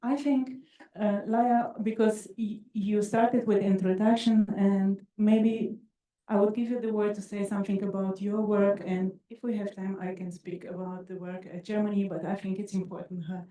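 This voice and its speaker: A woman from Bulgaria, a researcher speaking on a panel, soft-spoken and hesitant. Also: medium pitch, little pitch movement, moderate pace. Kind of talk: panel discussion. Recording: distant echoey mic.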